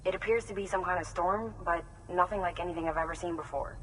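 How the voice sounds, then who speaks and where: An actor playing a calm, soft-spoken male scientist in a video game, reporting in a polite, precise and careful way. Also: high-pitched, quick pace, in a large hall, a little hiss or hum, poor audio. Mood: calm, concerned.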